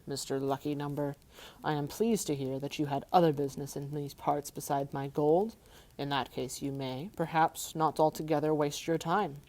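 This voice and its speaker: Casting: woman reading a wicked old dragon, booming, boastful, low-voiced. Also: a little mellow. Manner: gloating, mocking, booming.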